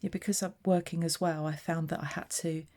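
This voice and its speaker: A woman chats away as she works through a papercraft tutorial, describing each step aloud. Her voice sits low and sounds mellow and unhurried. The talk is casual and friendly in a how-to way. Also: little pitch movement. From southeast England. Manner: chatty, narrating her own hands-on work. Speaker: papercraft demonstrator. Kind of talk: casual craft tutorial chat.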